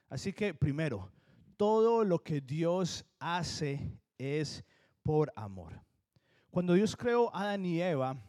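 A man from Colombia with a Colombian accent, preaching a sermon in an earnest, emphatic way and slowing down to stress key words. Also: on the high side, darkish tone. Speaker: pastor.

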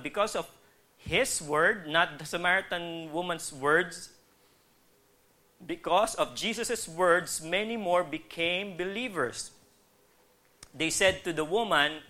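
Man, Filipino accent, preacher, higher-pitched bright voice, conversational teaching, acted-out quotes. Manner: conversational, acts out quoted dialogue. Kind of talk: sermon, teaching with Bible readings and questions to the congregation.

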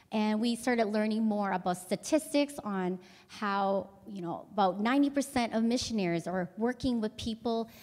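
A woman missionary giving a calm, explanatory church presentation with some fillers. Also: mid-range voice, big-room sound. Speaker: missionary speaker.

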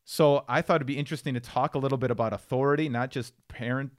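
A man's voice: mid-range voice, fairly even intonation, fast, no room sound, studio quality. A man co-hosting a podcast, talking casually and explaining things as he goes. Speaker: podcast co-host.

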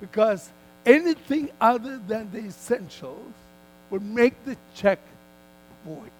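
An older man preaching a sermon as a guest speaker, in emphatic, deliberate phrases that he repeats for weight. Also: extremely high pitch, poor audio. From Malaysia.

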